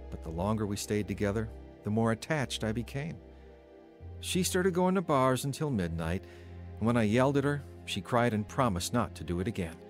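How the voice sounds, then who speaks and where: A man's voice: lowish voice, close to an everyday voice. An actor playing a troubled, wavering young man telling his life story in a radio drama. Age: young adult. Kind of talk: radio drama narration and dialogue.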